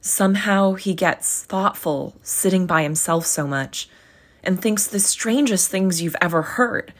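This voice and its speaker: A woman reading as a gentle, tender poor father. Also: on the low side. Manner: soft, tender, slow.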